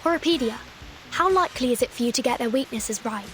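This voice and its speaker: An actress playing a calm, reserved young female leader with a measured, polite manner in a video game. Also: a little high, soft background music, close to an everyday voice. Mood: calm, resolute. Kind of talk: English video game character acting.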